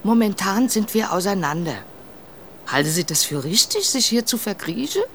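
A woman's voice: medium pitch, big pitch swings, moderate pace, a little hiss or hum. An actress playing a nosy, forthright landlady of a country inn, narrating in the first person. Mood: skeptical.

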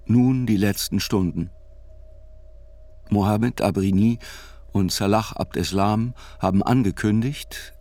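A male narrator with a fairly low voice reads a literary chronicle. His delivery is measured and deliberate, with articulate phrasing suited to an audio drama. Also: darkish tone.

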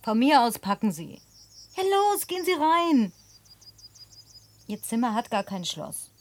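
An actress dubbing a woman who presses her companion with quiet, insistent questions. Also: on the high side, very animated, measured pace. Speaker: lead character in a dubbed film. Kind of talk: dubbed film acting.

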